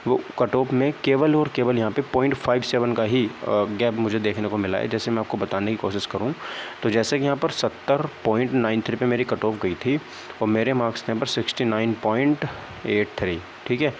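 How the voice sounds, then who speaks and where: A man's voice: medium pitch, brisk. A young man talking casually to viewers as he explains things, sounding disappointed and often adding small check-in tags. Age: young adult. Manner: conversational, often checks in with "okay, right" tags. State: downcast, disappointed. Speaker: exam-prep vlogger. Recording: dull lo-fi sound, faint background noise.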